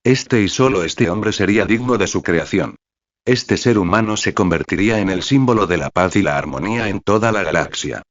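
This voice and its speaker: A man narrating a fan novel in a steady, even audiobook style. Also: on the low side, moderate pace, no echo, lo-fi sound.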